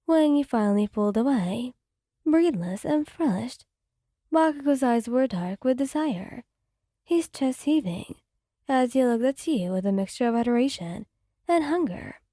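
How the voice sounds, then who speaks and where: Mellow, soft-spoken woman narrator. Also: a little high, expressive, unhurried, dry recording, clean studio sound. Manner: soft, gentle storytelling. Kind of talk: ASMR fanfic reading.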